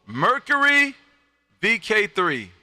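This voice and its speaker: A man's voice: high voice, wildly swooping pitch, slow pace, bright, forceful tone, no echo. A middle-aged American man hosting a live ham radio show from his radio room, with exuberant showman patter and repeated phrases for emphasis. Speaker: amateur radio operator and livestream host.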